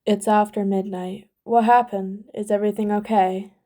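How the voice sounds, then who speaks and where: A woman playing a weary male teacher who talks gently but firmly. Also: medium pitch, little pitch movement, moderate pace, lightly acted. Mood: gentle, concerned.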